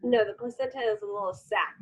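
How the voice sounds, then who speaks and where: A woman's voice: mid-range voice, fairly quick, slightly rough. A woman co-hosting a podcast in casual chat, giggly and teasing. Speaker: podcast co-host.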